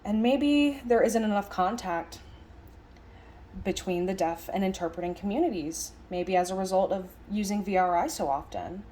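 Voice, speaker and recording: A woman voicing a lecture as an interpreter, conversational, speaking in halting phrases with stress on key words. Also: medium pitch, animated, warm tone, a little hiss or hum. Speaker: sign language interpreter voicing a signed lecture.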